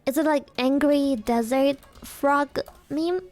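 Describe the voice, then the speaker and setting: A woman's voice: sits high, takes it slowly, darkish tone, faint music behind. A woman streamer chatting casually with her viewers, giggly and playful, her throat sore and dry. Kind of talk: casual live-stream chat.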